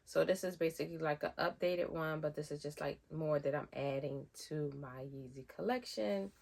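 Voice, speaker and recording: Deep-voiced lady w a stuffy cold, rambly sneaker haul chat, low pitch. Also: normal speed, vocal fry, a little mellow. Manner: chatty, rambling with fillers. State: nasal from a head cold. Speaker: sneaker vlogger. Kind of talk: casual unboxing chat.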